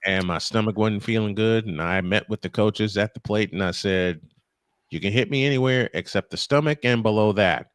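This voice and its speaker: A man calling baseball play-by-play and telling anecdotes between pitches. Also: normal speed, clear, resonant tone, no room sound, studio quality. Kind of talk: live sports commentary with anecdotes.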